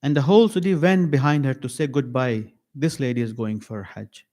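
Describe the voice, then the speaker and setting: A man giving a mourning sermon at an Islamic center, slow and emphatic, repeating key phrases with pauses. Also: medium pitch, a little mellow.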